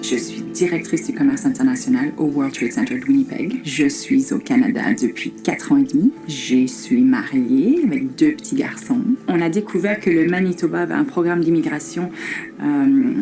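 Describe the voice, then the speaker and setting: A woman's voice: extremely low pitch, moderate pace, warm tone. A woman from Belgium, a trade director, speaking in a poised, businesslike way. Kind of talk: testimonial interview. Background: faint background music.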